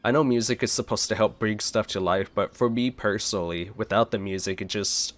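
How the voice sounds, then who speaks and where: A man's voice: on the low side, a little fast, fairly bright tone. A young man giving casual, chatty let's-play commentary into a close desk microphone. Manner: chatty, reads game text aloud, stumbles and laughs at slips. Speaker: gaming YouTuber.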